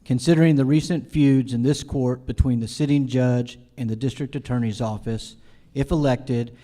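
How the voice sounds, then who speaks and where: A man's voice: mid-range voice, fairly even intonation, moderate pace, mellow. A man moderating a forum, formally introducing speakers and reading out questions. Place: theater, live event.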